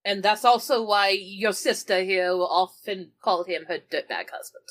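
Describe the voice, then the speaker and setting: A woman's voice: medium pitch, normal speed, a bit bright. A woman playing a tabletop roleplaying game, telling events in an informal, explanatory, conversational way. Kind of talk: conversational in-character storytelling.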